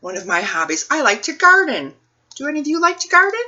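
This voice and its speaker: A woman reading a picture book aloud for children's storytime, phrasing things deliberately and putting questions to her young listeners. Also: sits high, very animated, sharp tone, dull audio.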